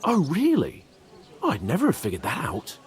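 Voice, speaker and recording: Sarcastic hot-headed young noble, medieval game, super swingy voice. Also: male voice, fairly high pitch, huge pitch swings, moderate pace. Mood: sarcastic, exasperated. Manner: sarcastic, swearing, griping. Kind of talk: video game cutscene acting.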